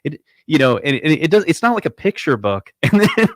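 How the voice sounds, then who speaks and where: A man's voice: fairly high pitch, very animated, brisk, no room sound, studio quality. A man co-hosting a podcast, telling stories in an upbeat, casual way. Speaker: podcast co-host.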